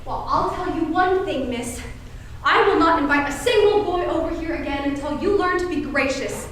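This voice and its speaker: A woman's voice: sits high, animated, normal speed, a bit bright. A teenage girl acting a bossy, fussy married hostess in an amateur stage play, with an emphatic manner. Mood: scolding. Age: teens. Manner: gushing, scolding, emphatic. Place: high school stage, filmed play. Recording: echoey stage, distant mic, faint noise.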